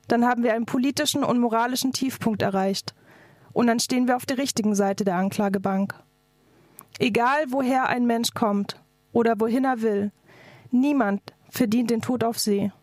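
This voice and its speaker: High-voiced woman giving an activist speech. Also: no echo, clean studio sound. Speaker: activist speaker. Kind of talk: scripted political rally speech.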